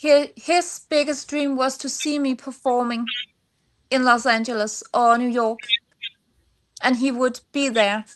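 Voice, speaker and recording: A woman's voice: fairly high pitch, steady tone, fairly bright tone, a little hiss or hum, poor audio. A woman from Denmark with a Danish accent, answering interview questions conversationally and searching for words in her second language. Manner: halting, searching for words in non-native English. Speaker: singer-songwriter, podcast guest.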